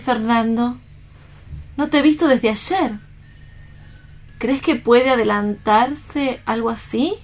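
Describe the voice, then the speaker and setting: An actress playing an anguished, remorseful young woman in a radio theatre reading, earnest and melodramatic, with deliberate phrasing. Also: on the high side.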